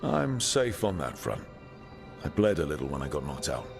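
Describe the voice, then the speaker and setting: A man's voice: extremely low pitch, sing-song, normal speed, creaky, music in the background, full character voice. An actor playing a level-headed male fantasy game hero who talks in a matter-of-fact way.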